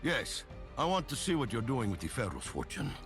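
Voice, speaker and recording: A man's voice: medium pitch, huge pitch swings, brisk, over music. An actor playing a smug, haughty wealthy financier, a possessive man, in a game.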